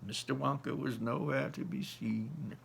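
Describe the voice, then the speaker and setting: A man reading a children's book aloud as storyteller, in an expressive, dramatic manner. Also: medium pitch, unhurried, creaky, slightly rough. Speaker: story reader.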